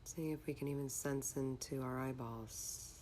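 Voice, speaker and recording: A woman leading a guided meditation in a calm, measured, instructional manner. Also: extremely low pitch, a little hiss or hum.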